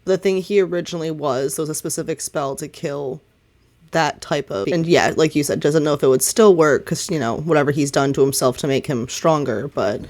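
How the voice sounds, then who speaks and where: A woman's voice: low-pitched, brisk, a little mellow. A woman talking casually and wordily as game master of a tabletop role-playing game. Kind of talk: tabletop role-play game talk, explaining lore.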